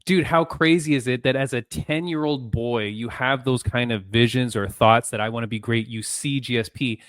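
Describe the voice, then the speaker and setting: A male podcast host, asking questions in an excited, casual way, full of "dude" and slang. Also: medium pitch, normal speed, no echo, clean studio sound. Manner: excited, says "dude" and "frickin'".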